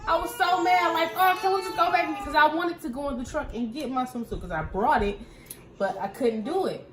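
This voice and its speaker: A woman chatting casually as a vlog co-host, informal, slangy and expressive in the way she talks. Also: sits high, brisk, reverberant, crowd noise. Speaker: vlog co-host, trucker's wife. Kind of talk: casual chat.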